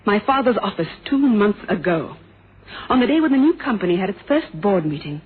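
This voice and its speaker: A needling, theatrical female witness presses her point in an old radio mystery. Her voice is husky and swooping, on a thin phone-like recording. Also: mid-range voice, very animated, a little fast. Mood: needling, insistent. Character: sharp, needling female witness exposing a suspect. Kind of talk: 1970s radio mystery drama acting.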